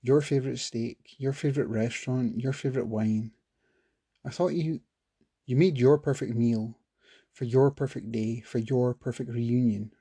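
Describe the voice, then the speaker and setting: A man voicing a calm, cold woman who speaks flatly and without feeling. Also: mid-range voice, fairly quick, creaky, slightly rough, no echo, full character voice. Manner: flat, unfeeling, unattached.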